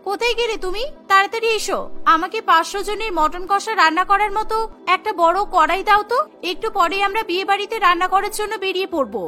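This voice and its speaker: A woman voicing an eager, cheerfully pushy young daughter in a cartoon, with a hurried manner. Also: very high voice, bright, forceful tone, soft background music. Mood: eager, calling.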